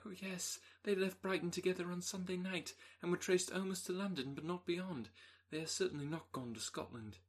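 A man voicing a distraught, impulsive young woman in an audiobook, speaking in a high, breathless, pleading way. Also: normal speed, hardly any pauses, husky.

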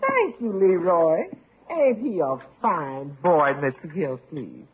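A woman's voice: fairly low pitch, very animated, slow pace, a crowd in the background. A woman playing a cheerful, deferential household cook in a 1940s radio comedy. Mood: obliging. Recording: tinny old radio, audience behind.

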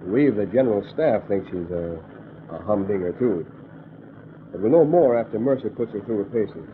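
An actor playing a calm, authoritative army colonel who explains things in a measured way, in a 1940s radio drama. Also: male voice, fairly low pitch, lightly acted. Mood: assured. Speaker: air force colonel. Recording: noisy lo-fi old radio broadcast.

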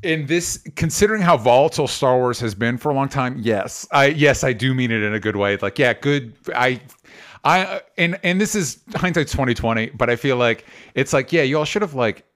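A man's voice: medium pitch, lively intonation, normal speed, fairly bright tone. Rambling man co-hosting a podcast. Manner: rambling, many restarts and fillers. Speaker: podcast co-host. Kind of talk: casual podcast chat.

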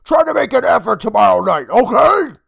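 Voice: mid-range voice, big pitch swings, brisk, vocal fry, a bit bright, slightly rough, pitched above the performer's own voice, heavily acted. A reader voicing a fussy, proper mother who talks to her son in a raised, prim tone. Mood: scolding.

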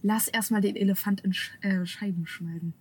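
A woman's voice: medium pitch, steady tone, moderate pace, breathy edge. A young woman as a podcast guest in a parked car, dry and mock-annoyed. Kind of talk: casual podcast chat.

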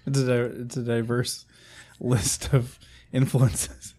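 A man with a mid-pitched voice chimes in on a casual podcast with brief, dry quips. His remarks are short and teasing. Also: flat delivery, slow pace, dark tone. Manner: short wry interjections. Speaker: podcast co-host.

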